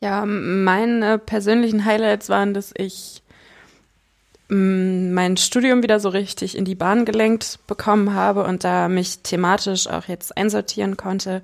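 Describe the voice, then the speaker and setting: A woman's voice: mid-range voice, little pitch movement, measured pace, gravelly. A woman of twenty-four, chatting casually on a podcast and laughing easily. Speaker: podcast co-host. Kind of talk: casual chat.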